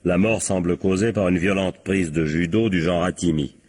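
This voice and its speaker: An actor playing a shrewd, confident police captain in a French radio crime drama, methodical, probing and wryly polite. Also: male voice, sits low, fairly even intonation, moderate pace, darkish tone. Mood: confident, analytical.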